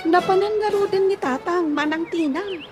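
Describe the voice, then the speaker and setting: An actress playing a young, fretful younger sister in a comedy radio drama, chatty and complaining. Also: female voice, extremely high pitch, normal speed, over music. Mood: curious. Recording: clearly noisy ambience behind.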